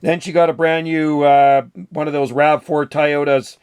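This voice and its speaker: Older man chatting on CB, slightly high voice. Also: level tone, a little slow, a little mellow, clean tone. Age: 60s or older. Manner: folksy, uses CB slang. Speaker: radio hobbyist, channel host. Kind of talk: casual CB radio chat.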